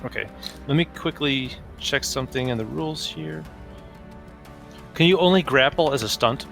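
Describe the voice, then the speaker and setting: A man's voice: mid-range voice, normal speed, vocal fry, fairly bright tone, soft background music. A man talking casually as a player in a tabletop roleplaying game.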